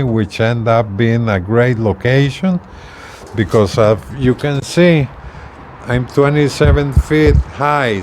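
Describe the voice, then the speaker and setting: A man giving a casual product demonstration as a video presenter, talking haltingly and repeating words as he explains. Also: mid-range voice, a little hiss or hum. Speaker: hobbyist video presenter, truck driver.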